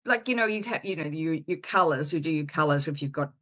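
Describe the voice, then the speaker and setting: A woman's voice: low voice, lively intonation, fast, fairly bright tone. Chatty middle-aged woman host, dull audio. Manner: chatty, enthusiastic, restarts often. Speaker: podcast host. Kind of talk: casual interview chat.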